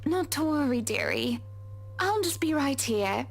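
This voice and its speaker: A refined, composed woman from a visual novel game, as voiced by a woman. Her speech is formal and courteous. Her voice sits high. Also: animated, measured pace, vocal fry, full character voice. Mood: gracious.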